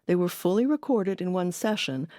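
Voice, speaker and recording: A woman's voice: lowish voice, lively intonation, moderate pace, mellow, no echo, clean studio sound. A woman hosting an audio documentary, delivering scripted narration. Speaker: documentary host.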